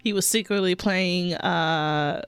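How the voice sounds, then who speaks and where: A woman streamer giving casual, expressive, giggly reaction commentary on a game, close on the microphone. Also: fairly low pitch, takes it slowly, clean tone, no room sound, soft background music.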